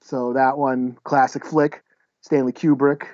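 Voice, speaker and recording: A man's voice: mid-range voice, little pitch movement, unhurried, darkish tone, no room sound. A man co-hosting a casual podcast, chatting in a laid-back, wry way. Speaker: podcast co-host. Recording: slightly dull, close dry recording.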